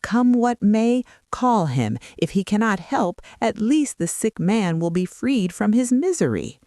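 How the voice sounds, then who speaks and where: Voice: mid-range voice, big pitch swings, a little slow, darkish tone, no echo, studio quality, pitched above the performer's own voice. A narrator voicing a blunt, insistent man urging his relatives in a folk tale, emphatic and urgent. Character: insistent, blunt brother-in-law of a sick man.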